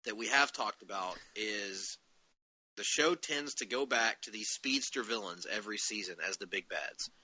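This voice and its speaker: A man's voice: fairly low pitch, moderate pace, bright, forceful tone, husky, rough recording. A man hosting a podcast, casually discussing comic-book television shows. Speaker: podcast host.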